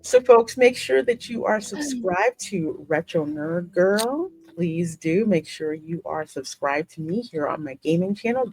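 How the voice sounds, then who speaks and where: A woman's voice: lowish voice, a little mellow, low-quality recording. A woman hosting a gaming channel, chatting casually and effusively, repeating words for emphasis. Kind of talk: casual gaming commentary and chat. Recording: music playing behind the voice. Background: music.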